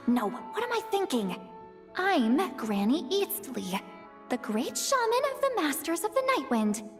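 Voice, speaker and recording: A woman's voice: high voice, big pitch swings, measured pace, faint music behind. An actress playing a proud, easily flustered elderly shaman in a video game, muttering theatrically in exasperation. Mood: grumbling, indignant.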